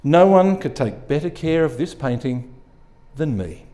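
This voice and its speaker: A man's voice: on the high side, animated, measured pace, mellow. A man voicing a con artist who poses as an experienced delivery man, practised and unctuously sincere, in a story reading. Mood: reassuring.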